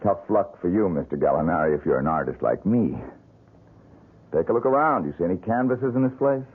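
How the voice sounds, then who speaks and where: A bitter, broke young painter with a deep voice. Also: male voice, lively intonation, brisk, slightly rough. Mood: suspicious. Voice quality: bitter, curt. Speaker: struggling painter. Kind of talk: 1970s radio drama acting. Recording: tinny lo-fi old radio broadcast.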